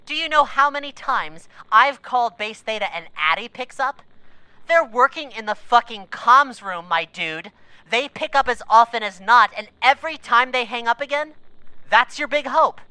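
Female: combative, sarcastic space-base officer, audio drama. Bright, forceful, indignant edge, very dry sound. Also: on the high side, smooth, dry recording, poor audio. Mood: exasperated, sarcastic.

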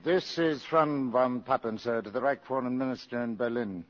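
An actor playing a dutiful embassy aide who reads aloud formally, in a 1950s radio drama. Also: male voice, mid-range voice, normal speed, natural voice. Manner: formal, reciting. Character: dutiful embassy aide reading intercepted message. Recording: dull 1950s radio broadcast.

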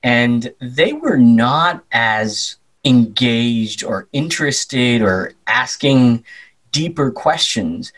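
A young man with an American Midwestern accent, a journalist chatting as an interview guest and telling stories in a lively, playful way. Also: mid-range voice, drawn-out words, poor audio. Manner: animated, slips into playful voices and accents. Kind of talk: casual conversational storytelling.

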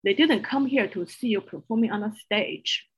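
A woman's voice: mid-range voice, normal speed, husky. A woman with a strong Chinese accent, a non-native English speaker, speaking earnestly as a guest coach in an interview. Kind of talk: podcast interview.

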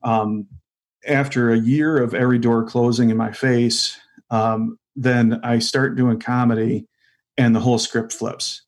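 A middle-aged man talks as a guest on a podcast, easygoing and rambling. He pauses on fillers and restarts his sentences often. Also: mid-range voice, level tone. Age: 40s. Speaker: stand-up comedian guest. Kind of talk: casual interview chat.

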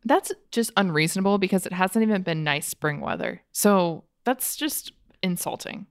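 A woman's voice: on the low side, expressive, moderate pace, clean tone, no room sound. A woman co-hosting a podcast in casual chat, with a dry, jokey, self-deprecating way of talking. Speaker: podcast co-host.

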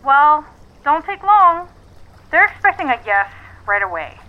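Persuasive office colleague on a dull phone line, high lively voice, businesslike, audio drama. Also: female voice, unhurried. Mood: pressing. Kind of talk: naturalistic audio drama dialogue.

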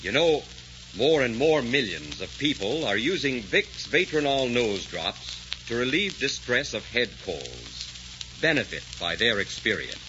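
A man's voice: medium pitch, big pitch swings, unhurried. A man announcing and narrating a 1940s radio drama in a grand, declamatory manner. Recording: echoey, muffled 1944 radio recording with hiss.

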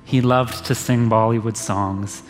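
A man serving as pastor leads a solemn memorial, reading a measured litany of thanks from the pulpit. His voice rings through a reverberant church hall, with faint music underneath and a mid-range pitch. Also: fairly even intonation. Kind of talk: memorial eulogy. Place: church sanctuary.

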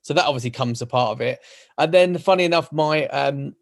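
Gravelly London man, relaxed. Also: a little high, a little fast. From London, England. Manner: relaxed, rambling, hesitant restarts. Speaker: podcast co-host. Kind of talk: casual chat.

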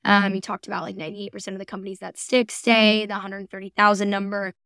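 A woman with a smooth, clear voice talks as a podcast co-host. She reviews things in a casual, conversational way and readily agrees with her partner. The sound is close and dry. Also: medium pitch, monotone, fast talker, a bit bright, no echo.

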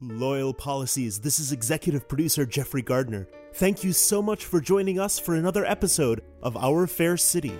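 A man, the executive producer, reading scripted podcast credits in a measured, formal way. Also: on the high side, animated, a little mellow, soft background music.

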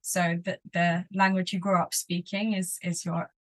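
A woman's voice: lowish voice, little pitch movement, moderate pace. A young woman from Sweden, a spoken word poet, talking casually as a podcast guest with lots of fillers and restarts. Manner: chatty, lots of "like" and "um", frequent restarts. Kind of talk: casual interview talk.

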